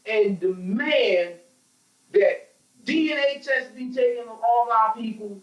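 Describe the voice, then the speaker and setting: A man's voice: very high voice, slow pace, dull audio. An American man in an opinionated group discussion, speaking emphatically like a preacher and repeating phrases to drive his points home. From United States. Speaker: panel speaker on a religious talk show. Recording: roomy echo, distant microphone.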